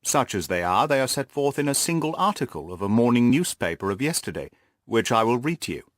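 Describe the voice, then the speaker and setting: An earnest, orderly gentleman companion from an audiobook, played by a male reader, speaking with measured care. His mid-pitched voice moves expressively, recorded dry. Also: sing-song, no echo, close to an everyday voice. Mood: measured, informative. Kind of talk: audiobook character voice.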